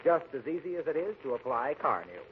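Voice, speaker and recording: A man playing a breezy, salesmanlike pitchman in 1940s radio comedy, with fluent sales patter. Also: sing-song, fast talker, slight background noise. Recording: tinny 1940s radio recording.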